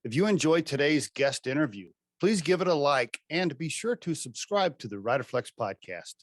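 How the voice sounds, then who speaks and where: Chatty male podcast host, higher voice. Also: very animated, moderate pace, no echo, studio quality. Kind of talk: casual interview questions.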